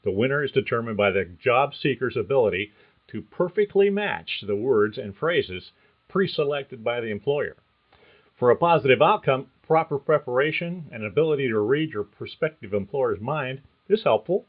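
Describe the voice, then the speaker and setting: A man hosting a career podcast, reading a script in a measured, explanatory way. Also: medium pitch, animated, narrow, tinny audio. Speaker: podcast host. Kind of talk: scripted narration.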